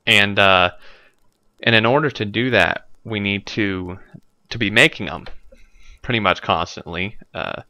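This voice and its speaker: A man's voice: fairly low pitch, measured pace, pauses often, pushed and bright, no echo. A man with a stuffy nose commenting casually on his gameplay, thinking aloud with lots of fillers, recorded on a desk microphone at home. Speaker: let's play host.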